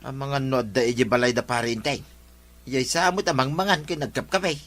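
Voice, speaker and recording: A man's voice: mid-range voice, fairly quick, fairly bright tone, close to an everyday voice. A man playing a complaining husband who craves his coffee, grumbling in a comedy drama. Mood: exasperated.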